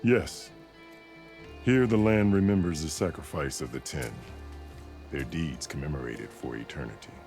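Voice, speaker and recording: An actor playing a solemn, imperious war chief in a video game, speaking formally and with weight. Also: male voice, rumbling low, a little mellow, soft background music. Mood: grave, commanding. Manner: formal, weighty, deliberate delivery. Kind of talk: video game dramatic acting.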